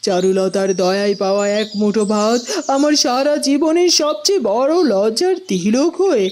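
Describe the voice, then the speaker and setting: A woman's voice: fairly high pitch, lively intonation, moderate pace, darkish tone, slight background noise, natural voice. A woman playing a proud queen sunk in defeat and shame, with a dramatic, bitter delivery in a cartoon. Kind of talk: melodramatic cartoon dialogue. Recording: faint music behind.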